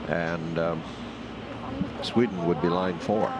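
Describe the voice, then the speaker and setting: A man calling a curling match as play-by-play commentator, explaining the shots in a measured way with frequent pauses. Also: sits low, steady tone. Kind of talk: sports commentary. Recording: broadcast audio with loud background noise.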